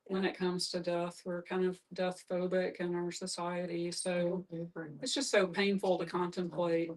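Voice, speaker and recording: A woman with a low-leaning voice and very even intonation explains things in a group discussion. She sounds some way off from the microphone. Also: a little slow. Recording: distant microphone.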